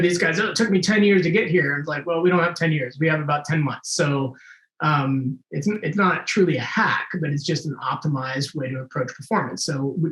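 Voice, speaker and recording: A man's voice: fairly high pitch, fairly bright tone, reverberant, poor audio. A man in his forties, a former Navy SEAL guest, talking in a laid-back way and laughing easily. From United States. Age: 40s. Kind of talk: casual interview chat.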